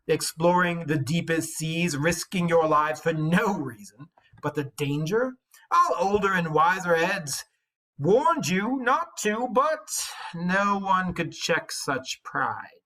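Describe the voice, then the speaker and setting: Jealous, taunting warrior, male reader acting, declaimed loudly in slow, emphatic phrases for an epic read-aloud, slightly husky. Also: on the high side, pitched above the performer's own voice, heavily acted. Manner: declaiming, sneering, drawn-out emphatic words. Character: jealous, boastful-hating male warrior bully, harsh and taunting.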